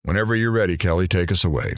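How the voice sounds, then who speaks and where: Man as deep, animated radio host, dry studio. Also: very fast, no room sound. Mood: wry, friendly. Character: self-deprecating, dry-witted male morning radio host. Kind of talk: mock radio news presenting.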